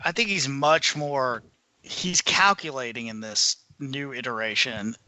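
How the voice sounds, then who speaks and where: A man with an extremely bright, sharp voice talks casually on a podcast. He phrases things in hesitant fragments. The sound is dry. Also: mid-range voice, unhurried, no room sound, rough recording. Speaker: podcast panelist. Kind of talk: casual chat.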